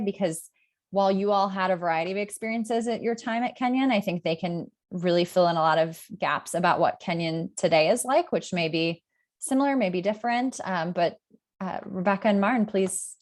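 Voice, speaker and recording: A woman hosting an informal virtual gathering over a video call, inviting people to speak. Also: mid-range voice. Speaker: host of a virtual alumni gathering. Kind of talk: informal meeting facilitation.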